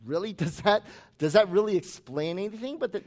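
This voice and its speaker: A man's voice: sits high, lively intonation, brisk. A man who grew up in the United States, preaching a sermon as pastor in a conversational way with chatty asides.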